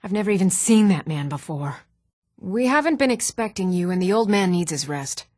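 An actress playing an even-toned fantasy townswoman in scripted video game dialogue, with a measured, composed delivery. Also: lowish voice, no room sound, studio quality. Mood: guarded, composed.

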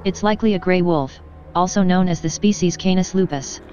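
An actress playing a pedantic, blunt, unflappable scientist investigator in an audio drama, precise and deadpan. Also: female voice, fairly low pitch, fairly quick, dull audio, over music, close to an everyday voice. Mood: matter-of-fact.

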